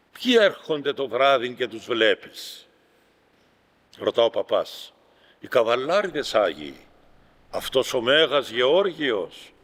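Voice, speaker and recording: Greek Cypriot bishop preaching in a reverberant hall. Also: male voice, a little high, sharp tone. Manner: deliberate, emphatic, pausing between short phrases. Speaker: Orthodox bishop giving a homily. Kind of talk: sermon, reading aloud from a book with commentary. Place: large reverberant room at a gathering. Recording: reverberant hall with long echo.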